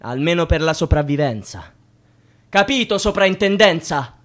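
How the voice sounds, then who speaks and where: An actor playing a frustrated, sardonic young student in a rhythmic, declamatory radio-drama monologue that moves between muttering and cries. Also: male voice, a little high, expressive, moderate pace, a little hiss or hum. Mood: mocking, defiant. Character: frustrated, bitterly sardonic young male student railing at the system. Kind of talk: theatrical spoken-word monologue with wordplay and repetition. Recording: loud string music behind the voice. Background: bowed string music.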